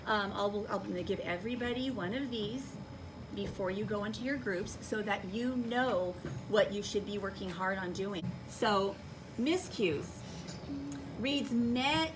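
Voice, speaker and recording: A woman schoolteacher giving instructions to children in a classroom, projecting her voice and checking understanding with questions. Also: mid-range voice. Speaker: elementary school teacher. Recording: distant mic, noisy classroom.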